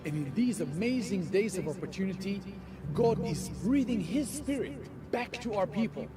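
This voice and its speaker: Earnest Israeli man over music. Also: high voice. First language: Hebrew. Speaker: ministry leader. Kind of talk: scripted promotional narration. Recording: voice over clear background music.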